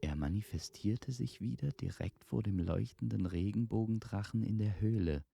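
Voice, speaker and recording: A man narrating a fantasy audio story in a measured, solemn, dramatic storytelling style. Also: fairly low pitch, creaky, dark tone. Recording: reverberant, with noisy ambience behind.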